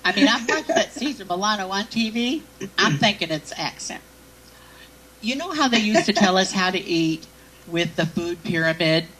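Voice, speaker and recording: A woman's voice: mid-range voice, lively intonation, normal speed, fairly bright tone, rough recording. A woman hosting a talk show, leading into her questions with jokes and tangents. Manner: joking, wandering lead-ins to questions. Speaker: radio talk show host. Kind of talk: interviewing a guest.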